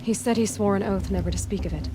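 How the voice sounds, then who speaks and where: An actress playing a young outcast huntress in a video game, guarded and determined, speaking in a direct, dry, probing way. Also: female voice, medium pitch, quick pace, music in the background, natural voice, low-quality recording. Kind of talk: naturalistic video game acting.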